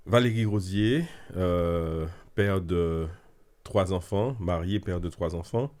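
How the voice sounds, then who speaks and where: Casting: low-pitched male guest from French Guiana, halting speech. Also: darkish tone. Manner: halting, stuttering repetitions. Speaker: guest, theology student.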